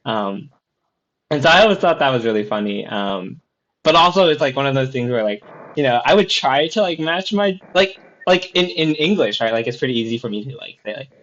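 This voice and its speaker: A man's voice: mid-range voice, expressive, brisk, a bit bright. A man chatting casually on a podcast, rambling with lots of fillers and restarts. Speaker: podcast co-host. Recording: slightly dull lo-fi sound.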